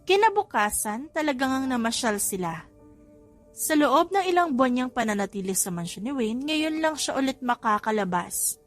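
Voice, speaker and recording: A woman's voice: sits high, expressive, moderate pace, faint music behind. A woman with a Filipino accent reading a scripted romance story as an audiobook narrator.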